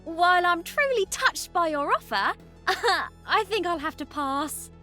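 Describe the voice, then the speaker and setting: A woman's voice: very high voice, animated, measured pace, bright, forceful tone, soft background music, exaggerated voice. A woman playing a cheeky, upbeat young heroine in a video game. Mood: plucky.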